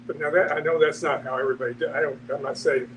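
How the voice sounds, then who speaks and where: A man thinking aloud in a halting, reflective way during a casual discussion. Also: a little high, steady tone. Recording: distant mic, noisy humming room.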